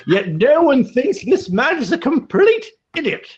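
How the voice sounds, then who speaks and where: A man's voice: very high voice, sing-song, measured pace, lo-fi sound. A man hosting a podcast in casual chat, telling rambling stories full of fillers. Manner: rambling storyteller, many fillers. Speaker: podcast host.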